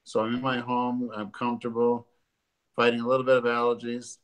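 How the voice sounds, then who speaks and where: A man, hosting a live stream as a doctor from his living room, a bit congested, pausing often with ums and uhs. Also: mid-range voice, monotone, clean tone, low-quality recording. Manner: halting, many ums and uhs. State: congested from allergies. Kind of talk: casual educational talk. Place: living room at home, sitting on a sofa. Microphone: webcam or laptop camera at desk distance.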